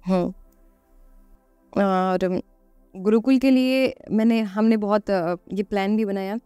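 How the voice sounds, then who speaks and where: A woman's voice: mid-range voice, fairly even intonation, slow, warm tone, clear, resonant tone, dry recording, soft background music. A woman guest on a podcast, explaining earnestly and conversationally, repeating phrases for emphasis and posing rhetorical questions.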